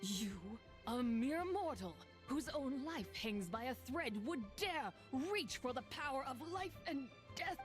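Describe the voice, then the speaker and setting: Haughty otherworldly game character, slow and solemn, sweeping intonation. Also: female voice, sits high, lively intonation, over music. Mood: scornful warning. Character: imperious, menacing supernatural being scorning mortals. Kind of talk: video game voice acting.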